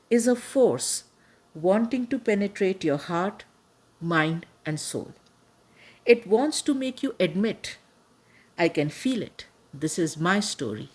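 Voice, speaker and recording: A woman hosting a podcast, giving a measured, earnest scripted introduction with emphatic stresses. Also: mid-range voice, slightly rough. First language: not Urdu. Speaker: podcast host.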